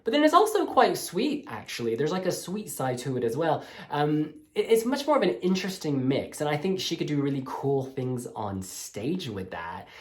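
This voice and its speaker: Animated, sassy man in a roomy room. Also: fairly high pitch, moderate pace, few pauses. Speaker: music blog host reviewing song demos. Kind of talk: casual video review.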